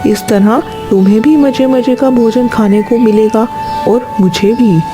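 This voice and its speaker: A woman's voice: fairly high pitch, unhurried, over music, lightly acted. A woman voicing a sly, scheming male crow in a children's cartoon, with a persuasive, explaining manner.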